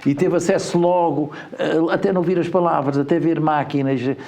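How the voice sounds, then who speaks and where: A man's voice: fairly high pitch, steady tone, brisk, warm tone. A man from Portugal with a European Portuguese accent, a guest in a studio interview, explaining at length with frequent hesitant fillers. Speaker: guest, education group administrator.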